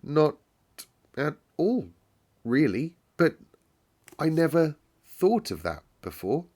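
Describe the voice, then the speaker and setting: A man's voice: on the high side, sing-song, slow, a little mellow, voice pushed up, full character voice. A man voicing a lonely, serious little girl with a stiff, earnest manner in a storybook reading. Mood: stiff, glum. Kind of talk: children's storybook read-aloud.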